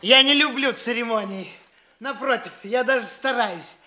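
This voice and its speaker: High-voiced boastful young fop. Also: male voice, pushed and bright, full character voice. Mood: boastful, excited. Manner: affected, gushing, drawn-out gallantry. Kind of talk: theatrical classic stage comedy acting.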